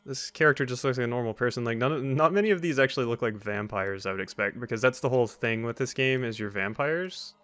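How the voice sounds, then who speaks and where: A man's voice: mid-range voice, fast talker, few pauses, fairly bright tone, no echo. A man giving casual, rambling gameplay commentary as a let's play host, with wry asides. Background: faint game music.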